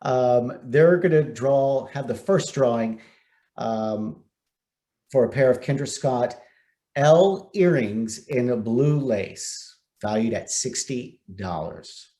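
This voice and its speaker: A man's voice: medium pitch, takes it slowly, dark tone. American man hosting a virtual event. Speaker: event host, news anchor. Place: video call.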